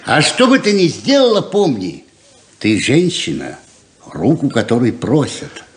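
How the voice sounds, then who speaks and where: A man's voice: medium pitch, wildly swooping pitch, unhurried. An actor playing a kindly, fatherly old rancher in a 1970s radio drama. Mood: encouraging.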